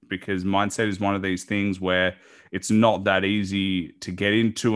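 Low-pitched man hosting a podcast. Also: clean tone. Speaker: podcast host. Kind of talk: casual interview chat.

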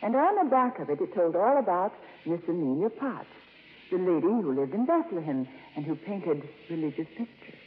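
A devout, lively nun in old radio. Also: female voice, lowish voice, normal speed, breathy edge. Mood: earnest. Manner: polite, fervent, gently insistent. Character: earnest, devout, gently persistent nun, female. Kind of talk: 1950s radio drama acting. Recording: muffled, reverberant 1950s radio broadcast.

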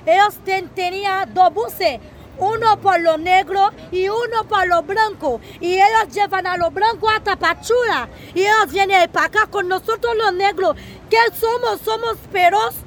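Young woman, halting, high voice. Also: slight background noise. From Haiti. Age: young adult. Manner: halting, searching for words, pleading questions. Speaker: migrant giving testimony. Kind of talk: recorded personal testimony. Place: outdoors in a town near a border.

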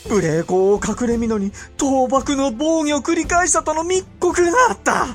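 An actor playing an imperious, impatient and suspicious medieval Japanese ruler in a period audio drama, with theatrical, drawn-out emphasis. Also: male voice, extremely high pitch, faint music behind. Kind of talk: period radio drama acting in archaic speech.

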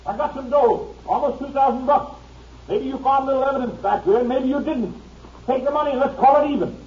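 High, distant, menacing Western outlaw. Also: male voice, fairly quick. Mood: sly bargaining. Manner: calling out, bargaining, menacing. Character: cornered male Western outlaw, sly and threatening. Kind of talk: 1950s radio western acting. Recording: distant echoing voice, dull old radio.

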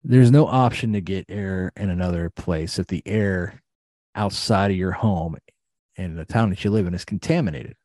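A man's voice: low-pitched, normal speed, darkish tone, no room sound, studio quality. A middle-aged American man giving an impassioned personal account, blunt and emphatic, repeating phrases and swearing. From Ohio, United States.

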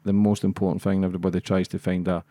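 Scottish male podcast host, lowish voice, informal and plain-spoken, dry close recording. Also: flat delivery, fast, mellow, dry recording. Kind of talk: conversational interview.

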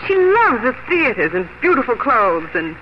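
A woman's voice: a little high, sing-song, moderate pace. An actress playing an impulsive, emphatic young woman visiting her parents, in a 1950 radio drama. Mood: earnest. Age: young adult. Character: lively, bossy young woman, a city artist. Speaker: grown daughter. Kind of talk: 1950s radio drama acting. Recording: hissy lo-fi 1950 radio broadcast.

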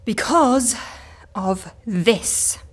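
An actress playing an exasperated, sceptical assistant in a comedy audio drama, dry and easily flustered. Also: female voice, medium pitch, expressive, very slow, husky, full character voice. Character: exasperated, sceptical woman assistant at eccentric agency.

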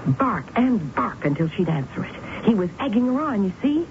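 Actress doing an unhinged sister sure the dog's the devil, intense and cold, tinny old radio hiss. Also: female voice, medium pitch, sing-song, normal speed, close to an everyday voice. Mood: obsessive. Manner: intense, obsessive, coldly insistent. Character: unhinged woman convinced a dog is the devil. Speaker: narrator and lead character. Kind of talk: 1970s radio drama acting.

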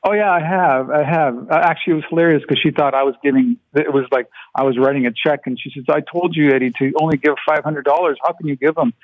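Man, sixties, Ukrainian first language, halting interview answers, phone-line sound, dry. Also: fairly high pitch, steady tone, no echo. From Canada. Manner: hesitant, many restarts and fillers. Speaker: guest artist.

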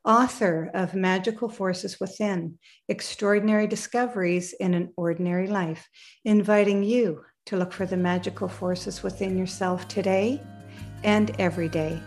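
A female show host with a medium-pitched voice, speaking in an encouraging, affirming way. She keeps the conversation moving and wraps up the episode. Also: a little mellow. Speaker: podcast host. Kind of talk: interview hosting.

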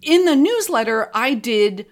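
A woman's voice: on the high side, animated, a little slow. A woman speaking casually as an interview guest, explaining her creative work in a conversational way.

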